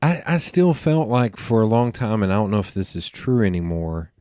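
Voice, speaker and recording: A man with an East Tennessee accent speaks in a very deep voice. He hosts in a chatty, informal way. The recording is dry and close. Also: moderate pace, no room sound. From Knoxville, Tennessee. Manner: chatty and informal, slips into animated retellings. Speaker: podcast host. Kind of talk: casual interview conversation.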